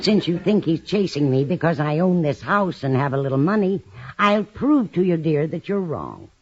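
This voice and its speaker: A woman's voice: deep voice, big pitch swings, moderate pace, breathy edge, heavily acted. An actress playing a kindly, fussing, motherly older landlady in a 1950s radio sitcom. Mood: earnest, coaxing. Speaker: supporting actress. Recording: dull lo-fi 1950s radio recording.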